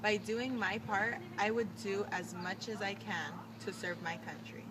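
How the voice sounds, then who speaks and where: A woman's voice: mid-range voice, measured pace, natural voice. A woman playing a dutiful 1940s wartime mother and mechanic, reciting earnestly in a mock radio interview. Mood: earnest, proud. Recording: distant mic with clear outdoor noise.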